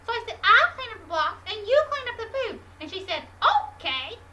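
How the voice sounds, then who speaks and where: A woman's voice: very high voice, sing-song, fairly quick, roomy sound, recorded from a distance, noisy background. A woman voicing an earnest little boy who follows the rules and gets frustrated easily, in a whiny, indignant way. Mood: explaining.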